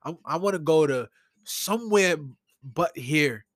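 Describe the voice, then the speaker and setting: A man from New York City with a Brooklyn accent, ranting and telling stories casually in an animated, slangy way, as a solo podcast host. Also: on the high side, unhurried, dry recording, clean studio sound. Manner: animated, informal, slangy, acts out conversations. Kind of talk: casual solo rant and storytelling.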